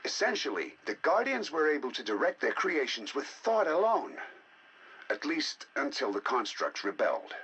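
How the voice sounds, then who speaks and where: Bright, animated male scientist, measured delivery. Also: on the high side, a little hiss or hum, heavily acted. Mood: measured, scholarly. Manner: measured, articulate, intrigued. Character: learned male researcher, eager and fascinated by alien ruins. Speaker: scientist narrating research logs. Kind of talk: scripted game audio log narration.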